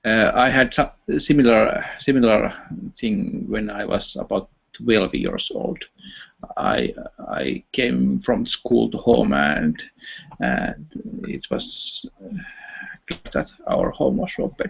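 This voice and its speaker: A man's voice: fairly low pitch, big pitch swings, takes it slowly, vocal fry, husky. A man with a Finnish accent in a casual online voice chat, speaking hesitantly with many fillers and restarts. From Finland. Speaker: chat participant. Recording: dull lo-fi online voice chat audio.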